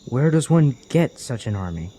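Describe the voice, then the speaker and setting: A man's voice: mid-range voice, very animated, normal speed, dark tone, a little hiss or hum, natural voice. A man playing a cautious, earnest young traveler in a fantasy audio drama, speaking formally as an anxious protector. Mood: polite, curious.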